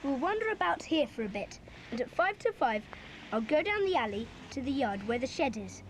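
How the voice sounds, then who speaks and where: A woman playing a bossy, excitable schoolboy club leader in a children's audio drama, with a commanding, eager manner. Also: sits high, a little fast, slight background noise, rough recording. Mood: tense, secretive.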